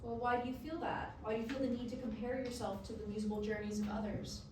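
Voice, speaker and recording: A measured, patient female therapist in a stage drama, played by a woman, probing with questions. Her voice is fairly high and comes from far off, with echo around it. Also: fairly even intonation, natural voice. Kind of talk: staged reading dialogue. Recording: echoey room from far off, background noise.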